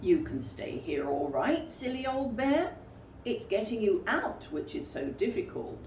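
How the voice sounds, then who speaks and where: Woman voicing a fond, gentle storybook boy, lively intonation. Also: on the high side, a little slow, voice pushed up. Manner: gentle, reassuring. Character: Christopher Robin, a fond, sensible young boy. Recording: roomy, distant mic with faint noise.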